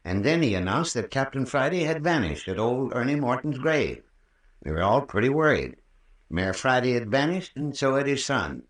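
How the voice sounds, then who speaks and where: An actor playing a folksy, patient, long-winded country doctor with a rural American accent in an old-time radio drama. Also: male voice, mid-range voice, very animated, a bit bright. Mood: patient recounting. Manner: folksy, unhurried, patient storytelling. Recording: roomy, distant old radio recording.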